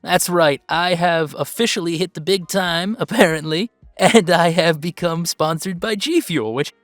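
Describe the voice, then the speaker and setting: A man playing an upbeat, earnest video game streamer who chats casually and haltingly in a livestream roleplay. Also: fairly high pitch, fairly bright tone, dry recording, faint music behind, lightly acted. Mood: excited. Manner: halting, rambling, sighing, earnest.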